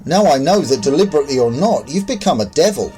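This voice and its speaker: A man's voice: fairly high pitch, very animated, fast, dark tone, soft background music. A man voicing an agitated, disoriented man in a sci-fi audio story, questioning and accusing. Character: agitated, disoriented man revived in devastated world.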